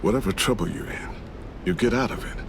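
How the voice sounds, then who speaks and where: An American actor playing a gentle, fatherly survivor in a video game, speaking softly and tenderly with unhurried reassurance. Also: creaky. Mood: reassuring. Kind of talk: naturalistic video game voice acting. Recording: steady vehicle rumble behind voice.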